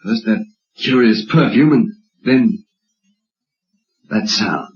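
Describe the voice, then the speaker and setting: An actor playing a reluctant, wary husband who grumbles drily, in old-time radio drama style. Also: male voice, fairly low pitch, slow. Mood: uneasy. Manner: dry, grumbling, expressive. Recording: dull lo-fi old radio recording.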